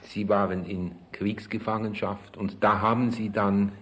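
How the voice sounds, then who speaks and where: Guy with a low voice doing a formal interview, measured prepared questions. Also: little pitch movement, a bit bright.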